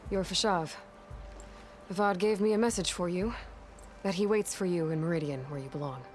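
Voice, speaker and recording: A woman's voice: on the low side, moderate pace, darkish tone, slight background noise, natural voice. Blunt, impatient young heroine played by a woman. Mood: matter-of-fact. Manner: blunt, insistent.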